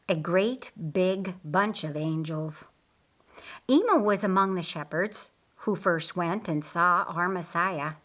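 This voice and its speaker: A woman reading a scripted story as an audiobook narrator, in a measured and expressive way. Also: on the low side.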